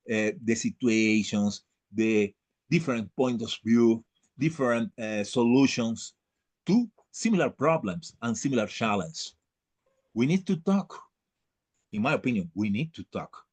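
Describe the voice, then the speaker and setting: Slow, halting man lecturing online. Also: on the low side, a little mellow, husky. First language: not English. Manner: halting, searching for words, repeating phrases for emphasis. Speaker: lecturer leading an online class. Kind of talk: lecture and discussion on a video call. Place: online video call.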